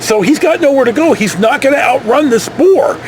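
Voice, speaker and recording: Male American vlog storyteller, lively intonation, high register, folksy and digressive. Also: normal speed, slight background noise. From California. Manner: folksy, mildly profane, digressive. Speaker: storytelling vlogger. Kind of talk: casual rambling anecdote.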